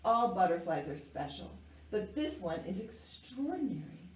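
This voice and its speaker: A woman's voice: medium pitch, big pitch swings, moderate pace, darkish tone, a little hiss or hum, lightly acted. A woman voicing a dramatic, precocious little girl who loves fancy French words in a children's picture book. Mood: excited, proud. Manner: theatrical, precocious. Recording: echoey room, distant microphone.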